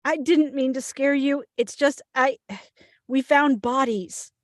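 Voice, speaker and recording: A woman acting a frightened FBI agent in a drama script. Her voice is notably high and closely recorded, with little room sound. She is defensive and insistent, stammering nervously as she tries to stay official. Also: fairly even intonation, takes it slowly, no echo, studio quality. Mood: tense, explaining. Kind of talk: scripted table read.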